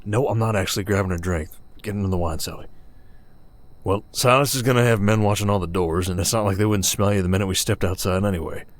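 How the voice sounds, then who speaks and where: A man's voice: on the low side, fast talker, close to an everyday voice. A man playing a weary, protective werewolf sheriff of the Old West in an ASMR roleplay, with a folksy American Southern accent and a hesitant storytelling manner. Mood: urgent, hushed.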